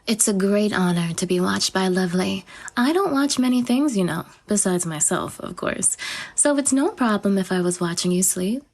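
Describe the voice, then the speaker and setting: A woman voicing a vain, possessive goddess in an audio roleplay, with a breathy, whispery voice and a self-adoring, teasing manner. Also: medium pitch, darkish tone. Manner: self-adoring, teasing, sing-song swings up. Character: vain, possessive female goddess, adult, obsessive.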